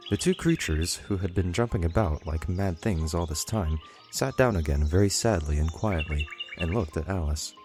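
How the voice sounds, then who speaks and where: A man's voice: low voice, normal speed, hardly any pauses, over music. A man reading scripted audiobook narration as a storyteller.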